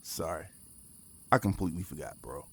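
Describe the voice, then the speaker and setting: A low-key, weary man in his forties in a sci-fi audio drama. His voice is very deep, husky and full of gravel. He holds himself in reserve. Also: moderate pace, heavily acted. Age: 40s. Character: laid-back, weary forty-four-year-old male time leaper. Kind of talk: naturalistic audio drama dialogue.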